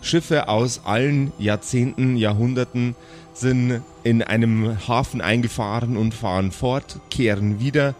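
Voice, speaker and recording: A man's voice: medium pitch, unhurried, darkish tone. Male game master narrating over faint music. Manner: descriptive, searching for words. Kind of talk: improvised tabletop storytelling narration.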